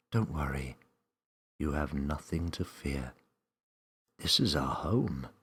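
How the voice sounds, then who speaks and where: A man voicing a strong, calming, wise spirit who speaks gently and solemnly in a children's story. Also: a bass voice, breathy edge, voice pushed down, exaggerated voice. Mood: calm, welcoming.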